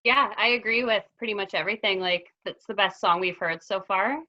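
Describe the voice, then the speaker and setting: A woman's voice: medium pitch, a little fast, pushed and bright, dull audio. A woman judge on a panel, chatting casually and agreeably.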